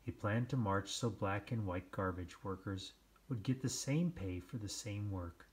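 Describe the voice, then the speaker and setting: A man reading a children's book aloud, with measured, deliberate phrasing and dramatic pauses. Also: fairly low pitch. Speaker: storybook reader. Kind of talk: scripted children's read-aloud narration.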